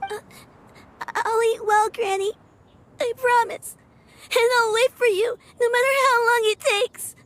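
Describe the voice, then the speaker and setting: A woman's voice: very high-pitched, steady tone, unhurried, pauses often, a bit bright, no echo. An actress voicing an earnest young girl in a game cutscene, pleading and tearful.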